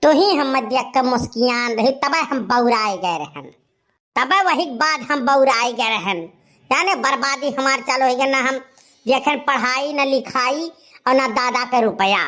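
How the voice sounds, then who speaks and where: A woman's voice: high voice, measured pace, fairly bright tone. A woman playing a desperate, lovesick young village man in a cartoon comedy, pleading melodramatically with an Awadhi accent. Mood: accusing, wounded. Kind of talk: animated comedy dialogue.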